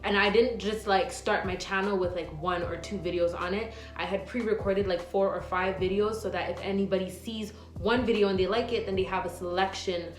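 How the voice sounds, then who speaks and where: A woman's voice: mid-range voice, fairly even intonation, brisk, few pauses. Chatty young woman in an echoey room. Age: 20s. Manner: chatty, rambling, many "like" and "um" fillers. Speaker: nurse and vlogger. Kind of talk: casual Q&A vlog, reading viewer questions. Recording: echoey room with faint music.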